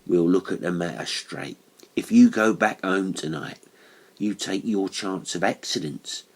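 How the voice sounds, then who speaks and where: A man narrator plays a working-class stonemason in a horror audiobook. His voice is low and rough-edged, and he talks at an unhurried pace. He is earnest and matter-of-fact, treating everything with grave seriousness. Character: Atkinson, earnest working-class male monumental mason.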